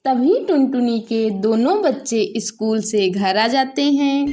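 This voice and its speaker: A woman's voice: on the high side, animated, a little slow, warm tone. A woman narrator reading a scripted children's cartoon story.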